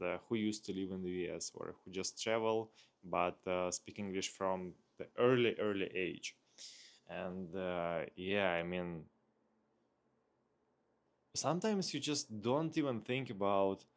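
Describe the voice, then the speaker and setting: A man with a Russian accent, a native Russian speaker, talking casually in an unscripted vlog, thinking aloud with hesitant searching pauses. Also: lowish voice. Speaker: vlogger.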